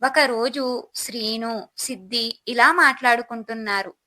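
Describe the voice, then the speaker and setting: A woman narrates a moral tale in a high, bright voice. She reads from a script as the storyteller, setting out the tale for listeners. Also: a little slow.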